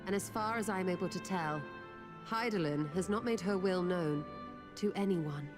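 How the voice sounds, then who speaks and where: A woman's voice: fairly low pitch, normal speed, lightly acted. A woman playing a composed, exacting scholar mage in a fantasy game, speaking formally, precisely and with measure. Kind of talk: scripted fantasy game dialogue. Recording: long reverb, faint music.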